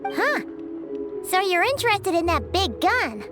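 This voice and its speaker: A small, childlike fairy companion from a video game. The voice is extremely high and swings up and down with cheerful, exclamatory energy. Music plays clearly underneath. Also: female voice, sing-song, unhurried, heavily acted. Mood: chirpy. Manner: perky, exclamatory, speaks of self in third person.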